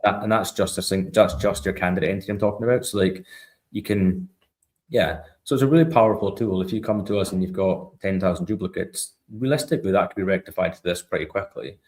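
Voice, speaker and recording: A man's voice: sits low, fast talker, a little mellow. A man in a conversational product walkthrough as an interview guest, explaining things informally and checking in with small tag questions.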